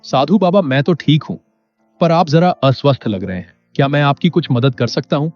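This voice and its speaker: A man playing an honest, poor villager, earnest and polite, in an animated story. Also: fairly high pitch, moderate pace, close to an everyday voice. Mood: helpful, concerned. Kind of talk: animated Hindi moral story acting. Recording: dull lo-fi audio with music behind.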